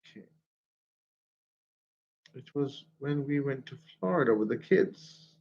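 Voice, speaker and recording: A man with a stuffy nose chats loosely into his phone, rambling from one story to the next and laughing often. His voice sits at a middle pitch, and the audio is dull and lo-fi. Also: animated, unhurried, a little mellow. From Jamaica. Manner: rambling, chatty, laughs often while telling stories. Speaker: vlogger. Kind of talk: casual livestream chat.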